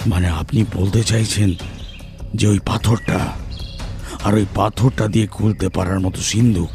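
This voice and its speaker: A man playing an ailing, bewildered father and householder in an audio mystery, halting and hesitant. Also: on the low side, fairly even intonation, darkish tone, soft background music, close to an everyday voice. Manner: halting, hesitant, repeats himself. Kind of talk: audio detective story acting.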